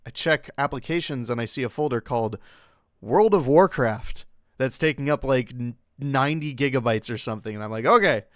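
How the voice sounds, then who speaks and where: A young adult man hosting a casual livestream in a clear, smooth voice at a middling pitch. He rambles hesitantly and often breaks off to restart a thought. The sound is dry and close, with no room echo. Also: brisk. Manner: rambling, hesitant, self-interrupting. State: occasional coughing from long covid. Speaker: livestream host.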